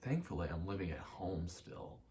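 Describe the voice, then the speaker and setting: A man's voice: low-pitched, big pitch swings, normal speed, dark tone, a little hiss or hum. A young man giving a casual, meandering ASMR ramble while gaming, often interrupting himself in an understated way. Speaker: ASMR creator.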